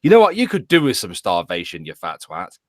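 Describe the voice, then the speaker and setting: A man co-hosting a casual chat, blunt and quick to exasperated outbursts. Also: low voice, wildly swooping pitch, no room sound, studio quality. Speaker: podcast co-host.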